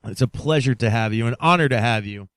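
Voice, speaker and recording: A man's voice: mid-range voice, fast, a bit bright, no room sound. Effusive male podcast host, very dry sound. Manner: effusive, showman-like, addresses listeners directly. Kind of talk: enthusiastic podcast introduction and interview.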